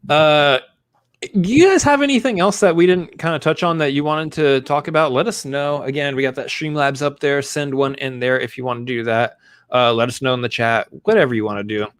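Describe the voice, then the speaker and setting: This is a man hosting a casual live stream, voice sitting at an ordinary middle pitch. He rambles from joke to plug with upbeat, offhand energy. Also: brisk, clear, resonant tone. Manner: jokey, rambling, upbeat plugging. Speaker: podcast host.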